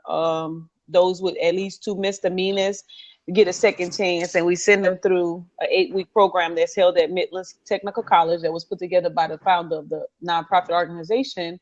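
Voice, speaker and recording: Low-voiced woman on a muffled line. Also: a little mellow. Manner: loose and conversational, with many fillers and restarts. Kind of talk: casual talk-show chat.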